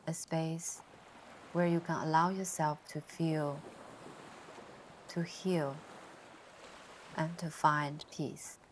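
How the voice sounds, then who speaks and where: Slow, low-voiced woman guiding meditation. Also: little pitch movement, slight background noise. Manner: soothing, gentle, unhurried instruction with long pauses. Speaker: meditation guide. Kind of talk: guided meditation narration. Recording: ocean surf sounds behind the voice. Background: ocean waves ambience.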